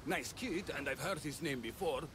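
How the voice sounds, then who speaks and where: An actor playing the blunt, sardonic, exasperated leader of a threatened village in ancient Greece, in a video game cutscene. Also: male voice, fairly high pitch, moderate pace, noisy background, close to an everyday voice. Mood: worried, bitter.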